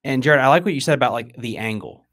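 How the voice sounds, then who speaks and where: A man in his thirties speaks as a casual podcast host. His pitch sits in an ordinary middle range. He is blunt and informal, leaning on words for emphasis. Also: lively intonation, quick pace, a bit bright. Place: indoor room at a desk, on a video call. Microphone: close boom-arm podcast microphone.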